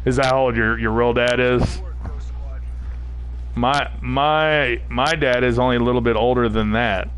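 A man's voice: mid-range voice, steady tone, unhurried, a bit bright, smooth, poor audio. A man from New England, chatting casually as a live streamer while playing a shooter, rambling and reading viewers' chat aloud. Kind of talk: casual gameplay commentary.

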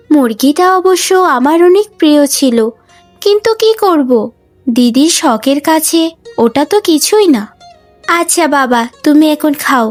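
Lady doing a sweet lil village girl in a cartoon, really high voice, earnest n affectionate, music underneath. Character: sweet, selfless, devoted younger daughter, a village girl. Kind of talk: Bengali cartoon story dubbing.

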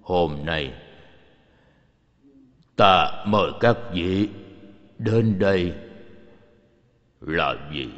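Dying old patriarch, deep slow solemn voice, cartoon dub, long reverb. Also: male voice, heavily acted. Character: dying old rich father, solemn and tender. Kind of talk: dubbed animation acting. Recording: muffled audio with long reverb.

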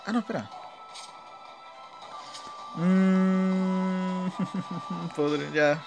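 A Chilean man with a fairly high voice hosts a gameplay video. He comments casually as he plays, in informal, slangy speech, often muttering to himself. Game music plays behind him. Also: fairly even intonation, very slow. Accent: Chilean Spanish. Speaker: gaming video host.

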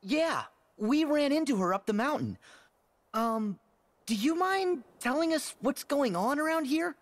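A man's voice: very high voice, very animated, measured pace, no room sound, exaggerated voice. A man playing an earnest, eager, friendly teenage boy hero in a game, boyish, curious and sincere. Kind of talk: video game cutscene acting.

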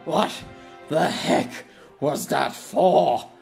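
A flustered middle-aged swordsman long out of practice, played by a male narrator. He speaks haltingly and wearily in a fantasy audio story, with music behind him. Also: on the high side, creaky, a little mellow, voice pushed up, full character voice. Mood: flustered, exasperated. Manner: halting, bemused.

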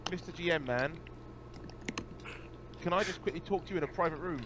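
A man's voice: a little high, fairly quick. A man speaking casually as a player in an online tabletop game. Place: online voice call. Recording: clearly noisy voice-chat recording.

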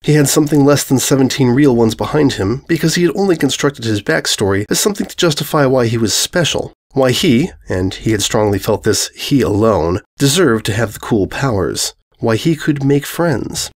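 Male narrator for scripted fiction, mid-pitched with animated intonation. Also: normal speed, few pauses. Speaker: story narrator. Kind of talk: scripted dramatic fiction reading.

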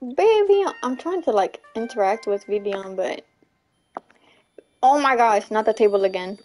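Chatty young woman livestreamer, slightly high. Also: lively intonation, unhurried, a little mellow, faint music behind. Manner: chatty, laughs often, repeats "you know what I'm saying". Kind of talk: casual chat while gaming, reading chat.

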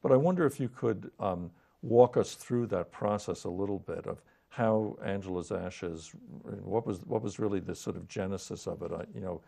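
Male interviewer, 60s. Low, creaky register, measured and tentative questions. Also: dark tone. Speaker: interviewer, host. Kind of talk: literary TV interview. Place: TV studio, indoors. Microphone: lapel mic.

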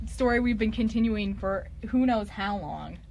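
A woman's voice: mid-range voice, normal speed, vocal fry, a little mellow, a little hiss or hum. A young adult woman hosting a radio show in casual group chat. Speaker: radio show host and scriptwriter.

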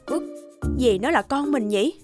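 A woman playing a wistful, wealthy lady in an animated fairy tale. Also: high-pitched, normal speed. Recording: guitar music under the voice.

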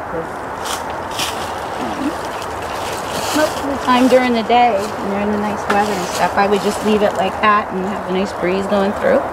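A middle-aged woman showing off the hut she built in the woods, chatting casually with lots of ums and likes. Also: medium pitch. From New Jersey. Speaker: bushcraft builder giving a tour. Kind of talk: casual show-and-tell. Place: outdoors in woods, inside and beside a stick hut. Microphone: camera held by another person. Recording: outdoor recording with steady background noise.

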